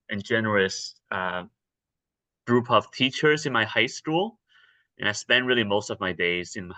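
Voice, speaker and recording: A young man from Taiwan, answering interview questions in a relaxed, hesitant way with many ums and restarts. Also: on the low side, no room sound. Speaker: interview guest, actor.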